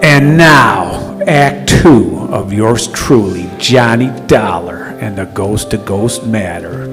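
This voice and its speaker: A man's voice: lowish voice, expressive, slow pace, fairly bright tone. A man announcing in the style of an old-time radio show. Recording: distant echoey hall, music underneath.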